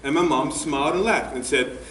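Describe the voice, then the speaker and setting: A young man playing an aggrieved, exasperated boy in a comic stage monologue, plaintive and deliberate with dramatic pauses. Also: a little high, a bit bright, natural voice. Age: teenager or young adult. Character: aggrieved, exasperated boy who hates his own name. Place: theater stage with audience. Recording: echoey theater, distant mic, faint noise.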